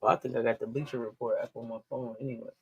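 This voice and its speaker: A man co-hosting a casual sports talk show, chiming in with quick, upbeat reactions. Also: medium pitch, flat delivery, creaky, darkish tone, husky, no echo, dull audio. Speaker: podcast co-host.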